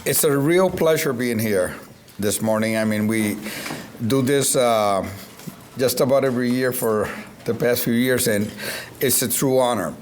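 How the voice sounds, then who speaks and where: Slow-spoken man from Puerto Rico, upbeat. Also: medium pitch, slight background noise. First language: Spanish. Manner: upbeat, proud, laughs between phrases. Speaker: event sponsor speaker. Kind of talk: speech at a public event.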